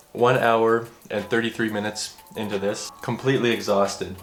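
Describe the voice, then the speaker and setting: A man chatting casually to the camera in a room at home, self-deprecating and halting, with frequent restarts. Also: on the low side, fairly even intonation. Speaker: vlogger. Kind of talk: casual vlog talk to camera. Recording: roomy echo with faint music.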